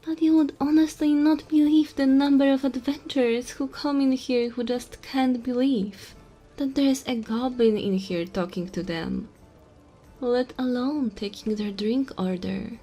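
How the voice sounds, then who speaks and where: A woman's voice: sits high, a little slow, mellow, faint music behind. A woman playing a small, cheeky goblin bartender in a fantasy audio roleplay, teasing and chatty with the customer. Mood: chatty, candid. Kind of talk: fantasy audio roleplay, addressing the listener softly.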